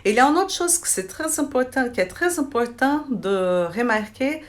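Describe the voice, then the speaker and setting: A woman's voice: fairly high pitch, expressive, moderate pace. A woman with a Brazilian Portuguese accent, speaking French as a third language, chatting to camera in a vlog, hesitant with many restarts, recorded on a handheld phone. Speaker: vlogger. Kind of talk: casual chat to camera. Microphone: phone held in hand.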